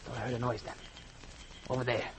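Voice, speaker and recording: An actor playing a nervous young American robber, hesitant and doubting, in 1940s radio drama style. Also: male voice, mid-range voice, level tone, quick pace, natural voice. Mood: nervous, doubtful. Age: young adult. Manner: hesitant, uneasy. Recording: noisy vintage radio recording.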